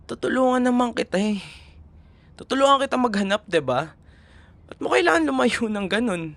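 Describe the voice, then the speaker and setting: A man's voice: very high-pitched, lively intonation, brisk, vocal fry, fairly bright tone, heavily acted. A man playing a young Filipino boyfriend, tender and proud, in an intimate audio roleplay, with a Filipino accent. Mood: hurt, frustrated. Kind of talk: ASMR boyfriend roleplay drama.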